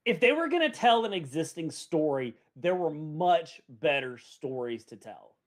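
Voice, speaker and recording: Male podcast host, high-voiced and expressive, chatty, restarts his own sentences. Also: measured pace. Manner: animated, self-interrupting. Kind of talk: casual podcast chat.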